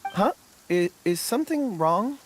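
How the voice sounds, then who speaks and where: A man playing a gentle, polite and dreamy young character in a video game, earnest in manner. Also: high-pitched, very animated, a little slow, slight background noise. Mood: eager, shy.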